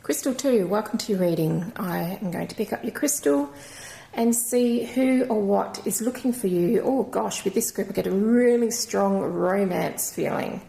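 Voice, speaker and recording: A woman with a croaky voice giving a channelled crystal reading in a gentle, rambling, reassuring way. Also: medium pitch, moderate pace. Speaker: intuitive card reader. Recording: echoey room.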